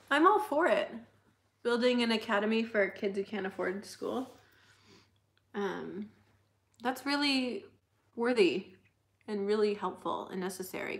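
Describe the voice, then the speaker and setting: A young woman with a high, slightly squeaky voice, hosting a casual livestream and chatting while reading out viewer comments, laughing often. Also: a bit bright. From United States. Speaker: livestream host.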